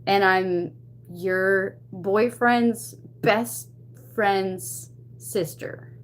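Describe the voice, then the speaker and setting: A woman with a medium-pitched voice tells a personal story to her livestream viewers. Her delivery is casual and chatty. She gets animated as she goes, in the loose manner of someone talking to friends. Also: drawn-out words. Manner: animated, chatty retelling with acted-out quotes. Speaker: livestream host. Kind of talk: casual anecdote to stream viewers.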